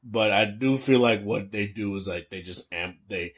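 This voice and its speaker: Man, very low bassy voice, young adult, casual tech review, laid-back chatty delivery. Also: moderate pace. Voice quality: deep, bassy voice. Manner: laid-back, chatty, many fillers and restarts.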